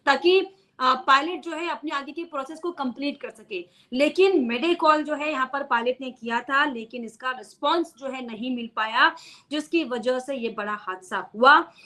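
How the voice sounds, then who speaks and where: Woman, news explainer, high-pitched, sharp bright tone, emphatic repetition, unscripted delivery. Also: moderate pace. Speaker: news presenter explaining a story.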